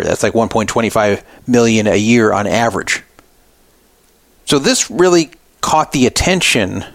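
A man's voice: mid-range voice, no echo. A man hosting a talk podcast, giving opinionated, explanatory commentary off the cuff. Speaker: podcast radio host. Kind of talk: unscripted poker news commentary.

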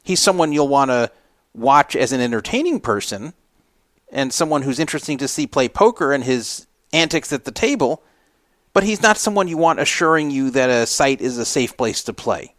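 A man's voice: medium pitch, lively intonation, moderate pace, a bit bright, no room sound, studio quality. Emphatic man hosting an opinionated talk show. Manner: rambling, emphatic, repeats himself for emphasis. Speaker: podcast host. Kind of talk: casual opinionated radio talk commentary.

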